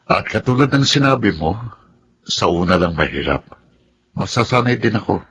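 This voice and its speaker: A man acting in a Filipino radio drama as a gentle, resigned middle-aged father. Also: lowish voice, big pitch swings, moderate pace, background noise. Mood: reassuring.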